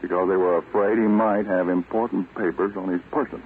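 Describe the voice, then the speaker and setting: A stern American government spy-catcher in a 1940s radio play. His voice is very low, deliberate and self-assured. The old recording is thin and narrow, as if through a small speaker. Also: steady tone. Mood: grave, explaining. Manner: deliberate, authoritative, explanatory. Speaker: radio drama actor. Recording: muffled, tinny, noisy old radio recording.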